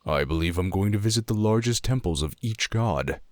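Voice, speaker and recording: A man's voice: fairly low pitch, animated, brisk, a little mellow, no echo, clean studio sound, close to an everyday voice. A man playing an earnest, reflective druid pilgrim in a fantasy audio drama, thoughtful and formal in speech.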